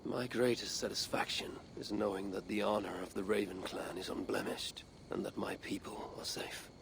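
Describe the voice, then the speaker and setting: Measured, resolute Viking champion. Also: male voice, fairly low pitch, fairly even intonation, vocal fry, noisy background. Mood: solemn. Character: steady Viking clan champion, stern and protective. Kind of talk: video game cutscene acting.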